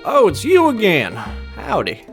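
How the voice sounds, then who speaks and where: Man playing a smug young colonel chef, grandiose and coy, animated pitch, music behind. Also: a little slow, heavily acted. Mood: grandly ambitious. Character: smug ambitious young male student chef, folksy southern colonel.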